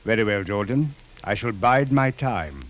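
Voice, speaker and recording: An actor playing a stern, shrewd police official in a 1950s radio drama, speaking formally and precisely. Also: male voice, on the low side, lively intonation, unhurried, fairly bright tone. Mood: stern, probing.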